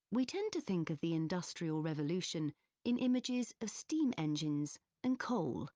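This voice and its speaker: A woman playing a history student giving a scripted academic presentation in a measured, explanatory manner. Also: on the low side, warm tone, no room sound. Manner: measured, clearly structured explaining. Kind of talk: scripted academic presentation in an exam listening recording.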